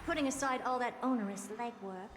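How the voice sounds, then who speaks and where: A woman playing a haughty, brisk genius scientist in a video game, explaining things in an imperious, matter-of-fact way. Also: a little high, natural voice. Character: haughty, brisk genius female scientist who commands puppets. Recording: game music behind. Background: game music.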